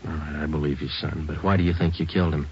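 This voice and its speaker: Very deep deadpan police detective. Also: male voice, fast talker, slight background noise. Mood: gentle questioning. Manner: deadpan, terse, matter-of-fact. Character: calm, businesslike male police detective who narrates. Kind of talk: 1940s radio drama, clipped procedural narration. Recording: dull 1940s radio recording.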